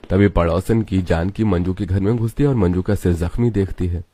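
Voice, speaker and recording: A man's voice: low-pitched, fairly even intonation, fairly quick, dark tone. A man narrating a scripted cartoon story as its storyteller.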